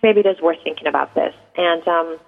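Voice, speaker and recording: A woman's voice: low-pitched, brisk, creaky. A woman in her thirties from Iran, a native Persian speaker, answering radio interview questions hesitantly and reflectively, with many ums. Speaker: guest poet. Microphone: phone. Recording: tinny phone line.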